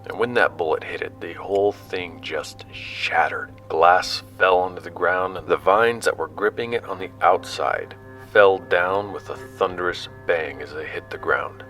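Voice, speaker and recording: Sci-fi audio drama narrator: male actor as self-deprecating explorer, very low register, conversational storytelling over soft music. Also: a little slow, hardly any pauses. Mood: animated recounting. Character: young male explorer recounting his expedition, awed and casual. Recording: music under the voice.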